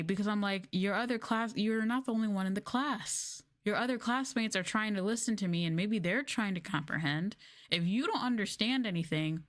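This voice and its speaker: A woman chatting casually on a livestream, telling stories in informal, slangy language with an exasperated manner. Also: medium pitch. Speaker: livestreamer and former English teacher.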